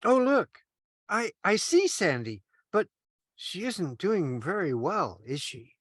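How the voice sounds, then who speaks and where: An eager, innocent junior angel from a radio play , voiced by a man. His pitch swings widely as he exclaims in kindly earnest. The sound is dry, with no room echo. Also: big pitch swings, slow, a bit bright, full character voice. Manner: earnest, exclaiming, swooping intonation. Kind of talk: old-time radio play parody.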